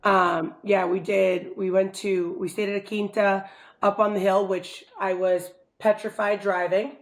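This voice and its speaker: A woman's voice: mid-range voice, little pitch movement, a little slow. A woman hosting a podcast, chatting with her guest in an enthusiastic, talkative way. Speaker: podcast host. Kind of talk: casual interview chat.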